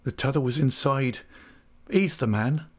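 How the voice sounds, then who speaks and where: Man voicing an anguished young fisherman, raised pitch. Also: unhurried, natural voice. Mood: faltering, anguished. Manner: pleading, faltering. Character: young working-class fisherman, anguished, deferential, honest. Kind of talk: dramatised audiobook character.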